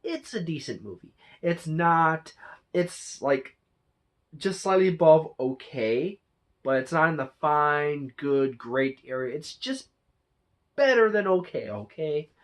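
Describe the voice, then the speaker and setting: Someone doing an off the cuff review for their channel, mid pitched voice, kinda rambly and halting, keeps fixing what they said. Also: expressive. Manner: halting, rambling, frequent self-corrections. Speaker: movie reviewer and channel host. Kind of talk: casual unscripted review monologue.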